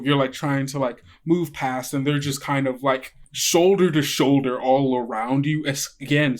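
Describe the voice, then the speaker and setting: A man running a tabletop game as game master, narrating off the cuff in a casual, halting, colloquial way. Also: mid-range voice. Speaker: game master and podcast host.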